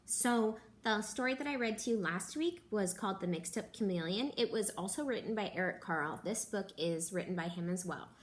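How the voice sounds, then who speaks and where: A young woman, a teacher, reading a picture book aloud to children at home in a gentle, animated storytelling manner. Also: medium pitch, fairly quick, hardly any pauses, a little hiss or hum. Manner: gentle, animated storytelling with gasps and character voices. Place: living room at home.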